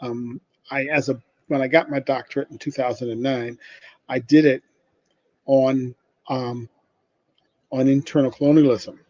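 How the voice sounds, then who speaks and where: An older man speaking as a professor taking questions after a presentation, explaining informally and haltingly with many repeated starts. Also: medium pitch, steady tone, mellow, no echo. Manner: halting, with frequent repeated starts and self-corrections. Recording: muffled, dull lo-fi audio.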